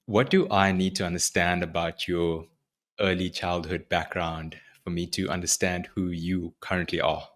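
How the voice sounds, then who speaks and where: A man hosting a podcast interview, asking reflective questions in measured, short phrases. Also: low voice, flat delivery, fairly bright tone. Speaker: podcast host.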